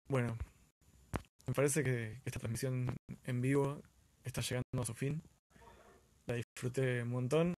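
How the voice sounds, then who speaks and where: A man's voice: mid-range voice, a little mellow, slight background noise. A man with an Argentine Spanish accent, talking casually and earnestly as the host of a live stream, often repeating words for emphasis.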